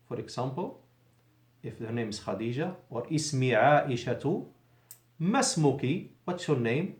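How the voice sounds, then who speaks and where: A man's voice: mid-range voice, reverberant. A male Arabic teacher giving a patient classroom lesson in a mosque, explaining in English and repeating Arabic phrases.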